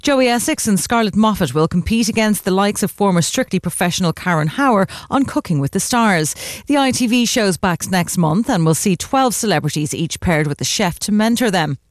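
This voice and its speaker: Male radio newsreader, higher pitch, crisp read, recorded dry. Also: animated, normal speed, no room sound. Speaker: entertainment newsreader. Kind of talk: scripted news reading.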